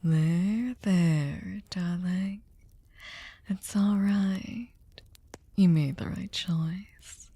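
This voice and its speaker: A woman playing a sadistic, seductive vampire mistress in an ASMR roleplay, commanding and condescendingly tender, breathy and often whispering. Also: fairly low pitch, extremely slow, dark tone, no echo, heavily acted. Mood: soothing, possessive. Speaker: ASMR voice performer. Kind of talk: ASMR roleplay, slow intimate monologue. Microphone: close studio microphone, dry.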